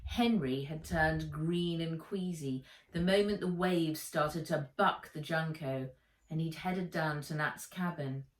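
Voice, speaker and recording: A woman reading a children's adventure story aloud in an expressive, deliberate storytelling manner. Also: deep voice. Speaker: author reading own book aloud. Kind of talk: dramatized children's audiobook narration. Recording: roomy echo, distant microphone.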